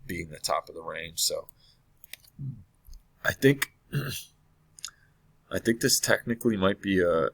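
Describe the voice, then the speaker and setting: A man walking through a trading chart in a casual, hesitant way, hoarse and losing his voice from illness, often clearing his throat and correcting himself. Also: sits low, lively intonation, slow pace, pauses often, a bit bright. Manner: thinking aloud, hesitant with many fillers and self-corrections. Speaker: trading analyst.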